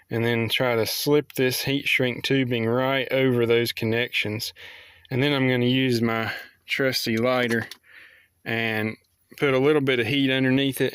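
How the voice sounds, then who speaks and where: Casual male how-to vlogger, average pitch, plainspoken delivery, very little room sound. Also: clean tone, no echo, clean studio sound. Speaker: vlogger showing a DIY repair.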